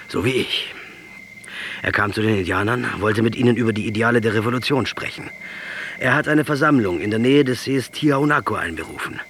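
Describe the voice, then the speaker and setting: A man's voice: on the low side, fairly bright tone, a little hiss or hum, natural voice. An actor playing a proud, fervent Argentine guerrilla commander in a radio drama, lecturing and sardonic as he argues. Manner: didactic, sardonic, rhetorical storytelling.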